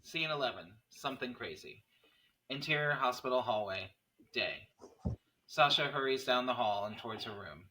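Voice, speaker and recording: A man narrates a horror script in a clear-cut, scripted way. His voice is bright and medium in pitch, with an animated range as he sets each scene. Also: moderate pace, low-quality recording. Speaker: narrator reading stage directions.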